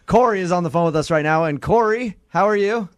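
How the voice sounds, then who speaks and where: A man's voice: sits high, brisk, clean tone, dry recording, clean studio sound. A man with an American accent hosting a talk radio show, bantering and teasing his callers. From Los Angeles, United States. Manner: joking, teasing.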